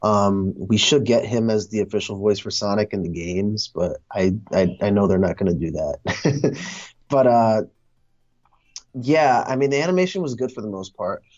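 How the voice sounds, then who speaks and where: A man's voice: on the low side, moderate pace, a little mellow. A man in a casual movie discussion, speaking hesitantly as he thinks aloud. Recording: dull, lo-fi sound.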